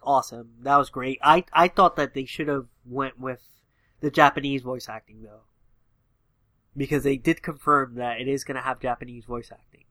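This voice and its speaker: A man's voice: medium pitch, sharp tone, dry recording. Man with a nasal stuffy-nose voice. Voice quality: slightly nasal. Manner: rambling, filler-heavy, enthusiastic. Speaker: podcast co-host. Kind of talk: casual gaming chat.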